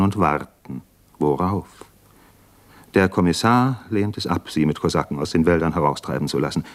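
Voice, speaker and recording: Radio play, 1950s style: young doctor-poet, deep register, earnest, homesick, introspective and intense. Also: male voice. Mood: brooding. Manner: reflective, literary, intense. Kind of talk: 1950s German radio drama acting.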